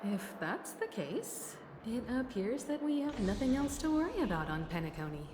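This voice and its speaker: A woman's voice: fairly high pitch, sing-song, normal speed, background noise. An actress voicing a composed, gracious woman who navigates a space crew in a video game. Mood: calm, assured.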